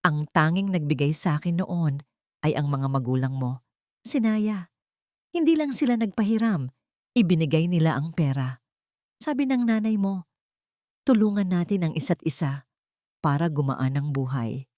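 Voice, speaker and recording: A woman's voice: low voice, lively intonation, normal speed, pauses often, a little mellow, no room sound, clean studio sound. A woman voicing a kindly, worried elderly aunt from the province in an audio story, earnest and concerned. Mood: grateful, solemn.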